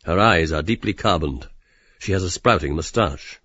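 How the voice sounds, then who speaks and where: A man's voice: sits low, moderate pace, a bit bright, muffled recording. A man reading literary stage directions as scripted audiobook narration.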